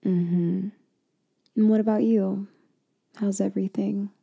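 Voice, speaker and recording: A woman doing a girlfriend roleplay for ASMR, speaking softly and intimately, slipping in and out of a whisper and often trailing off mid-thought. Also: steady tone, takes it slowly, gravelly, breathy edge. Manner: soft, intimate, drifting between low voice and whisper; hesitant, trailing off and restarting.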